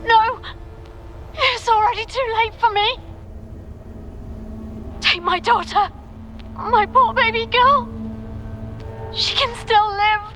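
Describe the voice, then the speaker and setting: A woman's voice: very high voice, slow, long pauses, a bit bright, soft background music, exaggerated voice. An actress playing a desperate dying mother, pleading and anguished, in a video game.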